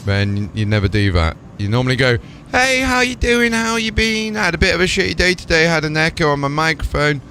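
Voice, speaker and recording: A man's voice: a little high, big pitch swings, fairly quick, hardly any pauses, pushed and bright, a little hiss or hum. A man livestreaming casually, chatting and talking himself through tasks aloud. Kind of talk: casual stream chat and commentary. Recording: music playing behind the voice. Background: music.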